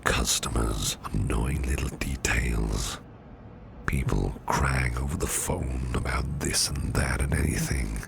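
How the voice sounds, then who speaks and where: A man playing a caring, courteous businessman boss in an ASMR roleplay, speaking in a soft, soothing, half-whispered murmur. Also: rumbling low, takes it slowly, vocal fry, background noise, exaggerated voice. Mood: tender, soothing. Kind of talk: ASMR personal attention roleplay.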